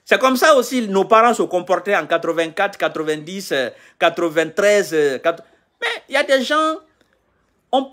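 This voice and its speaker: A man's voice: high-pitched, very animated, moderate pace, a bit bright. Fired-up man lecturing into his phone. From Guinea. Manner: heated, lecturing, repeats phrases for emphasis. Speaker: political commentator. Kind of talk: selfie live video rant. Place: indoors, small room, on a sofa. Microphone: phone at arm's length.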